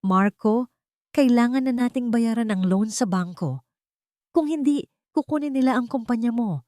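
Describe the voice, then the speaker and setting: A narrator woman plays a money-hungry daughter-in-law in an audio drama. She is urgent and demanding, pitched above the narration, with a dry, close sound. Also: expressive, normal speed, a little mellow, no room sound, lightly acted.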